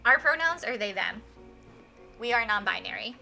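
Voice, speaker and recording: Bright, excitable woman streamer. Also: on the high side, normal speed, gravelly. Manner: effusive, excitable. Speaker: live streamer, host. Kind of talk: casual game stream chat. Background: music.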